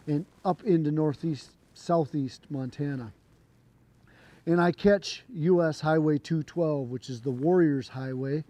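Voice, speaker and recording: A man with a hoarse voice, still recovering from a cold, casually telling a long story to camera. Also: fairly high pitch, no room sound. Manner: relaxed, rambling, with fillers and restarts. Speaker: trick shooter and channel host. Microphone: camera mic.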